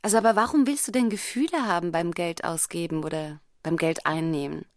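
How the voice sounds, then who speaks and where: Probing low-voiced woman, dry sound. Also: fairly quick, no room sound, soft background music. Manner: probing, confrontational. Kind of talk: radio play monologue of pointed questions.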